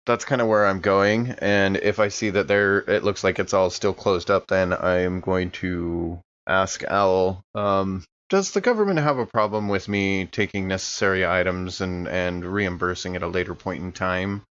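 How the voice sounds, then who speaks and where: Male tabletop player, fairly low voice, casual and tentative delivery, slightly muffled sound. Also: moderate pace, hardly any pauses, fairly bright tone. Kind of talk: casual improvised tabletop game talk.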